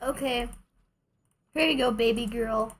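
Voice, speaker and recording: A woman's voice: on the high side, unhurried, faint music behind. A woman chatting casually as she plays a game on stream, thinking aloud with playful exasperation. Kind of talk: casual gameplay commentary to stream viewers.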